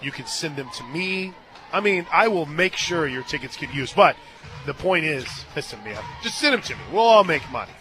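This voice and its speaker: Male American sports radio announcer, slightly high voice, chatty and emphatic, music behind him in a stadium booth. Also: lively intonation, normal speed. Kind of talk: live sports commentary with chatty asides.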